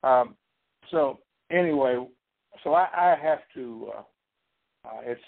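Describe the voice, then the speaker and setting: A man from Missouri preaching from the Bible in a rambling, folksy way, full of fillers and restarts. His voice is husky and sits at an ordinary pitch. The sound is thin and tinny, as if over a phone line. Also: takes it slowly. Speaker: Bible teacher. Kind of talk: sermon-style Bible teaching.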